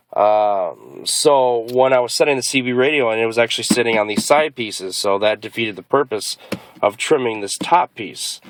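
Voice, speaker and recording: A man giving a casual explanatory vlog walkthrough in a halting way, often pausing as he thinks aloud. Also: medium pitch, slight background noise. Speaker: car vlogger. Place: inside a car.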